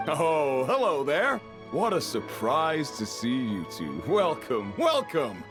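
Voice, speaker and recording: A man's voice: on the high side, wildly swooping pitch, slow, over music, heavily acted. A man voicing a jovial middle-aged father and chef in a video game, folksy, hearty and teasing.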